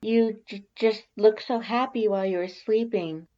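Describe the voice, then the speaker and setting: A narrator voicing a timid, awkward female monster scientist with a nervous, halting stammer in a story reading. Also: mid-range voice, fairly even intonation, darkish tone, muffled recording, voice pushed up, close to an everyday voice. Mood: flustered.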